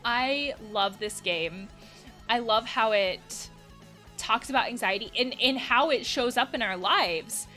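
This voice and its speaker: A woman's voice: on the high side, lively intonation, slow pace, bright, forceful tone, soft background music. A woman in her thirties giving casual commentary as a therapist playing a game. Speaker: host, therapist playing games. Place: small indoor room.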